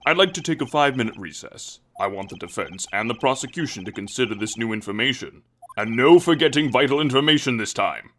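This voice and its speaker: A man's voice: mid-range voice, lively intonation, fairly quick, slight background noise, close to an everyday voice. A man voicing a stately, weary older courtroom judge in a game fandub, formal and dryly exasperated. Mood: authoritative. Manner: formal, dry, faintly exasperated. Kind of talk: visual novel fandub voice acting.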